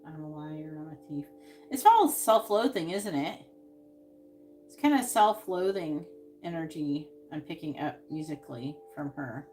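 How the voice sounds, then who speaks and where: A woman reading tarot cards on a live stream, musing out loud and trailing off with sighs. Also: low voice, lively intonation, a little slow, soft background music. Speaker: tarot reader.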